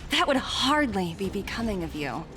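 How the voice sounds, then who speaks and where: A woman's voice: medium pitch, very animated, normal speed, a bit bright, soft background music. A woman playing a confident, shrewd young corporate negotiator in a video game, poised, polite and wry. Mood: wry, skeptical.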